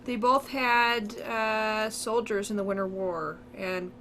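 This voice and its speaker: A woman's voice: mid-range voice, fairly even intonation, takes it slowly, bright, forceful tone, a little hiss or hum, poor audio. A woman co-hosting a game stream, chatting casually and reading viewer comments. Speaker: co-host reading chat. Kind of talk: casual chat.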